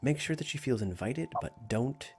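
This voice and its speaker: A man playing a tall female scout leader in a tabletop game, hesitant, apologetic and hushed. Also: mid-range voice, animated, moderate pace, husky, pitched above the performer's own voice. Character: six-foot-tall female army scout leader, timid-sounding, earnest.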